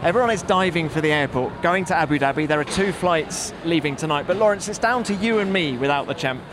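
Male sports podcast host, higher register, interviewing outdoors with noticeable traffic-like noise behind. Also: fast. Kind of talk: motor racing review chat and interviews. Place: outdoors in a race paddock. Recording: clear outdoor background noise. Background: outdoor urban and vehicle noise.

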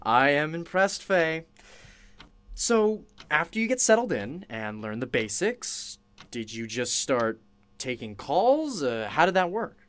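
A woman's voice: deep voice, big pitch swings, slow, full character voice. An actress playing an attentive, easily impressed woman interviewer in a conversational radio play.

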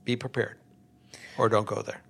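A man's voice: fairly low pitch, level tone, normal speed, vocal fry. A man co-hosting an advice podcast, talking casually and bluntly, often repeating words as he starts a thought.

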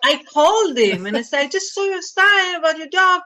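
A woman's voice: very high voice, brisk. A woman from Israel with an Israeli accent, a stand-up comedian chatting in an interview, laughing often and joking about herself. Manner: laughs often, tells jokes in a relaxed, self-mocking way. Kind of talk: casual interview chat.

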